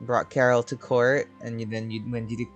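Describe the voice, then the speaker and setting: A man giving casual, low-key murmured reactions while playing a story game, with its music behind. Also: level tone, normal speed. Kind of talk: casual playthrough commentary.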